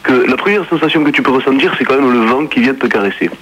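Male radio caller, Toulouse accent, husky voice, quick, wordy, mock-formal phrasing. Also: medium pitch, a bit bright, low-quality recording. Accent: southern French, Toulouse. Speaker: phone-in caller. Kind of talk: radio debate banter.

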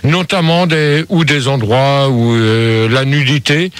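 A man hosting a live radio phone-in debate, halting and muddled, easily exasperated as he calls his guests to order. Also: medium pitch, clean tone, no room sound.

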